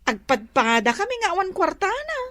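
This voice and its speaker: Woman acting a high-voiced, plaintive Ilocano student daughter. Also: huge pitch swings, a little fast, fairly bright tone, exaggerated voice. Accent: Filipino, Ilocano. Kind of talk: Ilocano comedy radio drama acting.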